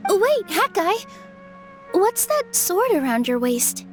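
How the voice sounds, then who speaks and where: An actress playing a gentle, caring, earnest young woman in an anime game dub, sweet and polite. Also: very high voice, sing-song, slow pace, faint music behind, full character voice. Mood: curious.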